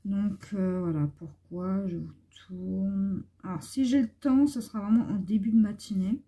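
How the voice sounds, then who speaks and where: Slow, husky, hoarse woman vlogger. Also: medium pitch, warm tone. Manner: rambling, meandering talk. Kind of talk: casual chat to viewers. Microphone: phone.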